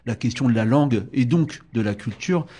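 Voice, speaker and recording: Man, radio host, casual interview, hesitant, restarts, mellow. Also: medium pitch, moderate pace, slightly rough. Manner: hesitant, many fillers and restarts.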